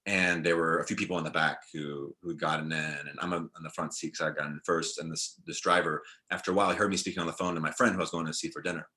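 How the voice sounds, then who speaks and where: Low-voiced man musing on a video panel. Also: fast talker, few pauses. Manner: relaxed, reflective, many "like"s and restarts. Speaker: film director and panelist. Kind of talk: panel discussion over video call. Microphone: video call.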